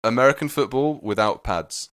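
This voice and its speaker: A man's voice: mid-range voice, moderate pace, fairly bright tone, no echo, clean studio sound. A young British man from Wales, a native Welsh speaker with a mixed British accent, chatting casually and self-deprecatingly as a podcast guest. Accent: mixed British, slightly London. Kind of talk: casual chat.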